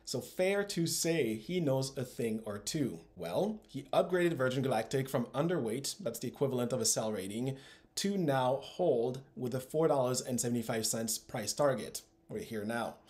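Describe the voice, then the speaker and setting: A man in his thirties speaks to camera as the host of an investing channel. His pitch sits in a middle range, and his scripted delivery is upbeat, with emphatic phrasing. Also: darkish tone. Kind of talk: scripted video commentary to camera. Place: small room at home, indoors.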